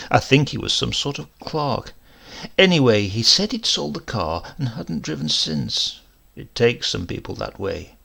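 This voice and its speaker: A man reading a first-person horror story aloud in a dramatic, confiding and expressive manner. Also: medium pitch, gravelly, breathy edge. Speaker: story reader.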